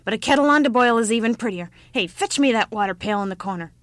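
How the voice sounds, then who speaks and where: Storybook old crone, played by a female narrator, loud, cranky and folksy, hard of hearing, dry close sound. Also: a little high, lively intonation, normal speed, no room sound, pitched above the performer's own voice. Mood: grumpy, scolding. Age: older. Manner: shouting, scolding, folksy. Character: grumpy, exasperated, slightly deaf old peasant woman.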